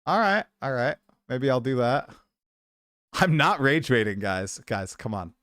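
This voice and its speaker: A chatty man in his late twenties hosts a casual livestream, rambling and poking fun at himself while he's coming down with a cold. His voice is smooth and resonant at a middling pitch. It's dry and close on the mic. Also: sing-song, a little slow, a bit bright, no room sound. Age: 20s. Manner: chatty, self-deprecating, rambling. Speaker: streamer host.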